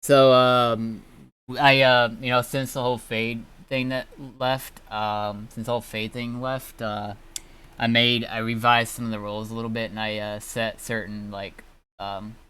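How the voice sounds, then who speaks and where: A man who streams, talking casually in a halting, rambling way and often backtracking mid-sentence. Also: medium pitch, little pitch movement, fairly bright tone, smooth. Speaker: streamer and roleplay server founder. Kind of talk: casual livestream chat.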